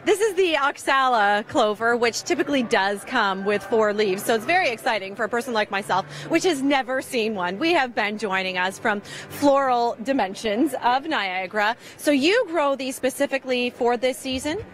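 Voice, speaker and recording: A woman's voice: on the high side, lively intonation, normal speed, few pauses, a bit bright, slight background noise. A woman giving upbeat, chatty weather reports live from outside a garden show, often laughing. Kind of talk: live outdoor weather hits.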